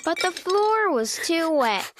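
A woman's voice: very high-pitched, big pitch swings, slow, background noise, exaggerated voice. A woman voicing a sweet, eager little animal child who tells a storybook tale in a simple, earnest, childlike manner. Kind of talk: read-aloud children's storybook narration.

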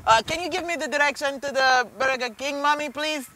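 A man's voice: extremely high pitch, fairly quick, bright, forceful tone, pitched above the performer's own voice. A man in his twenties playing a polite, confused customer hesitantly ordering at a drive-thru. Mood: confused, insistent. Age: 20s. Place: inside a car at a drive-thru, at night. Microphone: camera from the passenger seat. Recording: faint noise inside a car.

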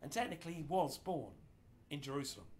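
A man's voice: fairly high pitch, lively intonation, fast talker, a little mellow, a little hiss or hum. A middle-aged man giving an informal history lecture in a small indoor room, gesturing broadly as he explains. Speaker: speaker giving a history talk.

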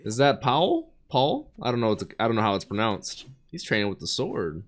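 A young man with a raspy, sick voice chatting excitedly while reacting to an anime in a small home studio, talking into a boom mic. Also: fairly quick. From United States. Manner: excitable, chatty, laughing often. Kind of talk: casual anime reaction commentary. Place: small bedroom studio with neon lights. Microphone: studio mic on boom arm, headphones.

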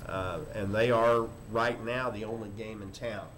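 An older man, a school superintendent, giving a formal report at a board meeting in a halting, measured way with frequent pauses. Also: lowish voice, fairly even intonation, fairly bright tone. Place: indoor board meeting room. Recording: noisy meeting room microphone.

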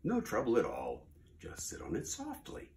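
A man voicing a lazy female bird who whines and pleads in a high, coaxing voice. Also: sing-song, slow pace, darkish tone.

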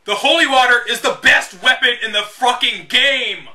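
Bright, high-pitched man, sarcastic read-aloud host. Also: moderate pace, far from the mic. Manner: sarcastic, exasperated. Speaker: host reading a game guide aloud with commentary. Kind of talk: dramatic read-aloud with casual mocking asides.

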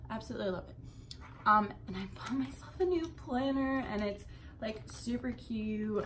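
A woman's voice: medium pitch, slight background noise. A young adult woman chatting casually to camera in an informal, rambling way, recorded in a bedroom. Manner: informal, swearing, rambling asides. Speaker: vlogger. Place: bedroom indoors.

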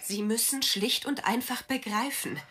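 A woman's voice: on the high side, measured pace, a bit bright, soft background music. An actress playing a desperate, insistent woman pleading against injustice in a radio drama.

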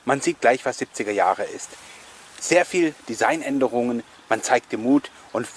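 A man's voice: medium pitch, expressive, normal speed, a little hiss or hum. Male host, middle-aged, enthusiastic and chatty, addressing fellow collectors directly. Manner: effusive, addressing viewers directly. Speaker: host of a collectors' retro show. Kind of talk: enthusiastic chatty presenting to camera.

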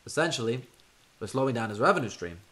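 An actor playing a confident federal agent in an old time radio drama, brisk and authoritative. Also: male voice, mid-range voice, big pitch swings, a bit bright. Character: confident male federal agent leading a task force. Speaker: Agent Jack Brewster.

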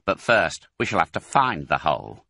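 A man doing a gruff, sneering farmer. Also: sits low, very animated, moderate pace, bright, forceful tone, no echo, studio quality, full character voice. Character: short, bad-tempered male duck and goose farmer.

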